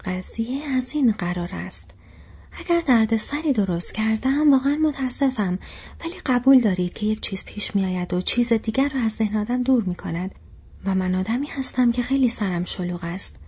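A woman's voice: mid-range voice, mellow, husky. A woman whispering as a flustered innkeeper. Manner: rambling, apologetic. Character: flustered busy male innkeeper, middle-aged. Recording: dull lo-fi recording.

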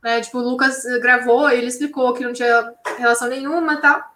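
A woman with a bright, fairly high voice talks about her science channel in a lively, slangy way. Her words ring around an echoey room. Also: fast talker. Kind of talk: casual interview talk.

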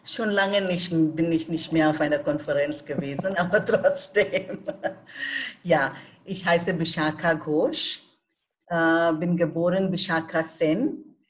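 An older woman from India with an Indian accent, a native Bengali speaker, answering questions as a podcast guest in German with a warm, hesitant manner and many fillers. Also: lowish voice, steady tone, slow. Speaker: guest, teacher. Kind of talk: podcast interview.

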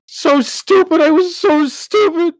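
A woman voicing a wounded young shapeshifter man, crying in pain with a halting, breaking voice. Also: a little high, expressive, a bit bright, husky, no echo, pitched above the performer's own voice, heavily acted. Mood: pained, tearful.

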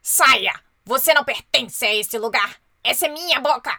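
A woman voicing a strong, well-used little word that starts out bossy and loud, then turns kind and generous. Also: sits high, normal speed, dry recording, studio quality, faint music behind, exaggerated voice. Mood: angry. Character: proud then kindly little please-word, strong and happy.

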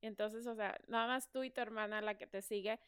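A woman's voice: medium pitch, steady tone, a little fast, a bit bright, clean tone. A woman from Mexico, hosting a podcast interview in a chatty manner and laughing often. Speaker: podcast host. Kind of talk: casual interview chat.

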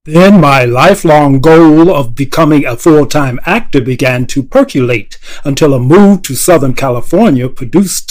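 A man's voice: a little high, darkish tone, poor audio. Older man narrating his story. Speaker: storyteller recounting his own career. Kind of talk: scripted autobiographical narration.

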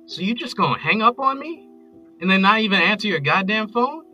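A man plays a possessive, volatile American ex-boyfriend in an audio drama. His voice is fairly high and very bright, with a hard forceful edge. He manipulates with pet names and demands, over music. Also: sing-song, moderate pace, full character voice. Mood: angry, hurt. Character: possessive, manipulative abusive ex-boyfriend, pleading and menacing.

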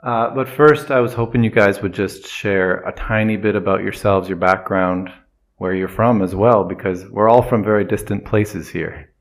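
Low-voiced man co-hosting a podcast. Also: fairly even intonation, normal speed. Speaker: podcast co-host. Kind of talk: casual podcast chat.

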